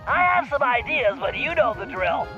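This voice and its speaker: A brainy cartoon turtle strategist with a very high, nasal voice. He briefs in a fussy, precise way over a dull radio link, with faint music underneath. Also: sing-song, moderate pace. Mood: businesslike. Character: nerdy, brainy male turtle gadget expert and strategist. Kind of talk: animated video game acting. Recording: band-limited radio-like sound, faint music behind.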